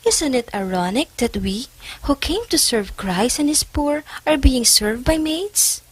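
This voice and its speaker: A sardonic, pious nun acted by a woman on stage. She speaks slowly in a husky, swooping voice, stretching words for dramatic point. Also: sits high, lively intonation, heavily acted, low-quality recording. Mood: dismayed. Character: sardonic gossiping Spanish nun, theatrically pious. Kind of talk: amateur stage play acting.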